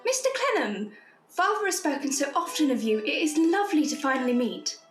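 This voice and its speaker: A graceful, friendly young lady in an audio drama. Her voice is sweet, high and animated, heard in a roomy echo. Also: a little fast, faint music behind. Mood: warm, welcoming.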